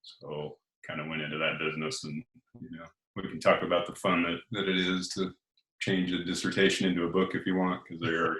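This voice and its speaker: A man in his forties, an Air Force officer and author, chatting casually as an interview guest in a deep monotone voice, relaxed and full of hesitations. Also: fairly quick, reverberant, rough recording. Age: 40s. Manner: relaxed and hesitant, many uh fillers.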